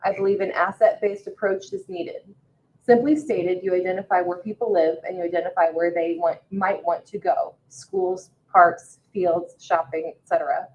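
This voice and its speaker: American woman, low register, town staffer reading a letter into the record, muffled sound. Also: normal speed, darkish tone. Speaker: town meeting staff member. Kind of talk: reading a public comment aloud. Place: indoor public meeting room. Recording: dull, muffled meeting-room audio.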